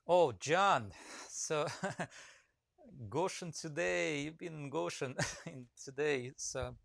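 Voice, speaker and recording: A man's voice: on the high side, takes it slowly, gravelly, a bit bright, no echo, clean studio sound. A man with a Russian accent chatting casually on a live stream, greeting viewers by name and rambling with lots of fillers. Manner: rambling, many fillers, reads comments aloud. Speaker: woodcarving teacher live-streaming.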